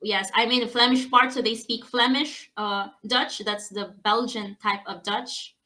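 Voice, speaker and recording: A woman with a bright, forceful voice, pitched a little high, hosting a relaxed interview. She talks in a bubbly, effusive way, gushing over what her guest says. Her colours every line, and her is Hiligaynon. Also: measured pace. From Philippines. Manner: bubbly, effusive, laughs often. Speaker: host. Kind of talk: casual video-call interview.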